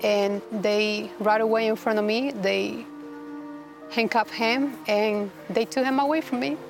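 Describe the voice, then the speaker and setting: A woman's voice: fairly high pitch, fairly even intonation, vocal fry, over music. Earnest woman. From Costa Rica. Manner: halting, earnest, many restarts. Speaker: guest. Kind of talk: personal testimony interview.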